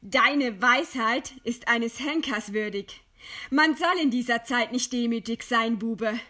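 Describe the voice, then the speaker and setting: A proud, defiant woman intellectual in a radio play. Her voice is high and forceful, and she declaims slowly, with eloquent scorn for her executioner. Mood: scornful, indignant. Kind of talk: theatrical radio play acting.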